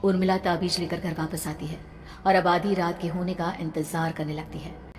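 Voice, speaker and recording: A woman's voice: on the low side, flat delivery, normal speed, a little mellow, slight background noise. A woman narrating a scripted horror story in a dramatic storytelling manner. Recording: reverberant, with background music.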